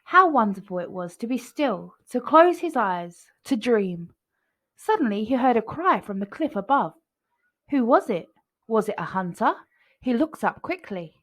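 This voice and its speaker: Female storyteller for children's picture books, expressive read-aloud, highish voice with very lively intonation. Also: a little slow.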